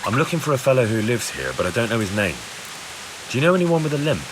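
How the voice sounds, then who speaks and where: A man's voice: mid-range voice, very animated, fast, noisy background, close to an everyday voice. An actor playing a young medieval man investigating a crime in a video game, plain-spoken and inquiring. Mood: earnest. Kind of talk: video game dialogue acting.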